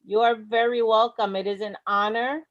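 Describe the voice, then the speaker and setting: A woman's voice: fairly high pitch, fairly even intonation, muffled recording. Earnest woman giving a motivational talk. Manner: slow, emphatic, earnest. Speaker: guest speaker. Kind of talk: motivational speech. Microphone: video call.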